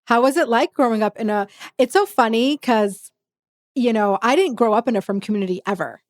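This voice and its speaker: A woman's voice: fairly high pitch, a little fast. A woman hosting a casual interview, originally a Yiddish speaker from Texas, asking curious, eager questions with lots of fillers. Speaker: podcast host.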